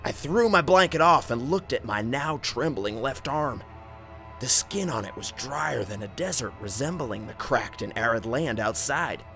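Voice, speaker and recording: A man narrating a scripted first-person horror story in an expressive, suspenseful storytelling manner. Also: medium pitch, a little slow. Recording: music bed under narration. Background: background music.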